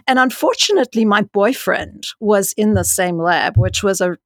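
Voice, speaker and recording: Frank, loud-voiced woman with gravelly edges. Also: mid-range voice, moderate pace, dry recording. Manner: frank and animated, many "you know"s, rising to emphasis. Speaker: dean and scientist. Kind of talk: candid interview storytelling.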